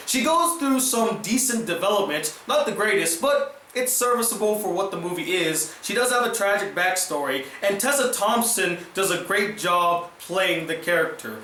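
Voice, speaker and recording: A man's voice: high voice, sharp tone, reverberant, slight background noise, poor audio. A man with a sore throat, giving an earnest, opinionated scripted film review. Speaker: movie reviewer.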